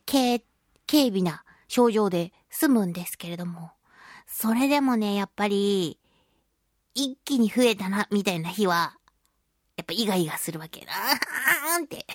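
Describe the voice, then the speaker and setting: A woman's voice: mid-range voice, no echo, studio quality. A woman hosting a casual solo radio talk, speaking expressively with giggly asides and little sound effects. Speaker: radio show host, voice actress.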